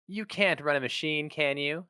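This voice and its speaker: A man's voice: fairly high pitch, moderate pace, pushed and bright, smooth, no room sound, clean studio sound, pitched above the performer's own voice. A man voicing a sober, curt young female receptionist in a dramatic story reading. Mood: doubtful.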